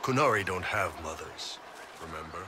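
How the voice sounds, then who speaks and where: An actor playing a gruff, burly mercenary chief in a video game, blunt and protective in short soldierly phrases. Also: male voice, low voice, animated, normal speed, background noise. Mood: fatherly, instructing. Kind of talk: video game cutscene acting.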